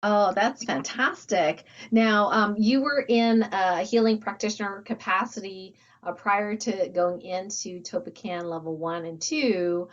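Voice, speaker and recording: A woman hosting an interview, speaking enthusiastically and filling pauses with uhs. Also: medium pitch, lo-fi sound. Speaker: host, academy founder.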